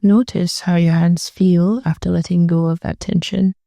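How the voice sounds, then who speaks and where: A woman with a very dark, mellow voice that sits a little low and often settles into creak. She guides a meditation gently and soothingly, with unhurried phrases and long pauses. The sound is dry and close, with no sense of room. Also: level tone, no echo.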